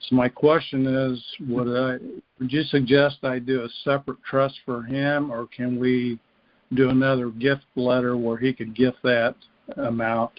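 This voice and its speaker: Even-toned male caller on muffled phone. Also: mid-range voice, a little slow, dry recording. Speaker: caller asking for advice. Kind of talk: casual phone question. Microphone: telephone. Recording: dull lo-fi phone call.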